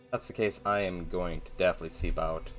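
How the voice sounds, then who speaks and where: A man giving casual, rambling gameplay commentary in a flat, monotone voice, with a self-deprecating manner. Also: low-pitched, fast, faint music behind. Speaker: gaming video host.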